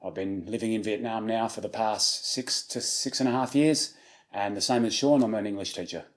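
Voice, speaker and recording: Easygoing Australian man, lowish voice. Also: normal speed. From South Australia. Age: 30s. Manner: easygoing, many ums and restarts. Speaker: charity walker. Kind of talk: casual interview answers.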